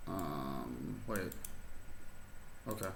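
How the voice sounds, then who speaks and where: A man's voice: very deep voice, lively intonation, very slow, creaky, noisy background. A man chatting casually with viewers while streaming a chess game from his rural desert home, rambling and laid-back, full of fillers and restarts. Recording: roomy echo.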